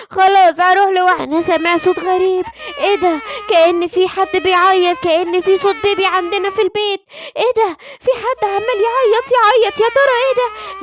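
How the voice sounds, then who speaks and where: A little boy in a children's cartoon story, voiced by a woman. He has an extremely high, childlike voice and wonders aloud, curious and trying to be brave. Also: background noise.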